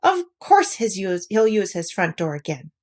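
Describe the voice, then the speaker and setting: A woman voicing a kind, sensible young storybook boy in a gentle, reassuring manner. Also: medium pitch, very animated, normal speed.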